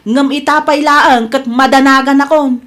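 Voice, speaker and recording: A woman's voice: high voice, steady tone, moderate pace, a bit bright. A woman acting a meddlesome, whiny gossip in a radio comedy drama, flustered and exclaiming. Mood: anxious, whining. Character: whining, meddlesome gossip, a grown daughter.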